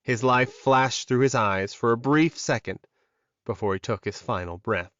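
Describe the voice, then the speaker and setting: A man's voice: mid-range voice, normal speed, fairly bright tone, dry recording, dull audio. A man reading scripted fantasy fiction as a dramatic audiobook narrator.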